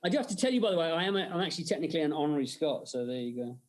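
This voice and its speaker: An English man telling lively anecdotes as a guest in a casual interview, often slipping into mock accents. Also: fairly high pitch, very fast, a little hiss or hum. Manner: animated storyteller who slips into Scottish impressions. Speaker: guest, special effects artist.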